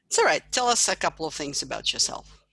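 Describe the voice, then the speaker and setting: A woman professor leading an online class, greeting students and asking them to introduce themselves in an encouraging, brisk manner. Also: sits low, sing-song, vocal fry, fairly bright tone, slightly rough, no echo. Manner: upbeat, encouraging, brisk questions. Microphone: computer mic on video call.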